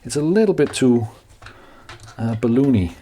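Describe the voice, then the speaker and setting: A man's voice: medium pitch, expressive, mellow, a little hiss or hum. Danish-accented man chatting while fixing a bike. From Denmark. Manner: halting, many fillers and restarts, chatty asides. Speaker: DIY maker vlogger. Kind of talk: casual talk while repairing a bike.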